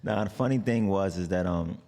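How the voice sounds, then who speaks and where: A man with a very deep voice and a New York accent chats through his story in a relaxed, rambling way. He leans on fillers and trails off between thoughts. The sound carries a long hall reverb. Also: fairly quick. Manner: relaxed, rambling, lots of "like" and "you know". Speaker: guest, singer and artist. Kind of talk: casual interview chat.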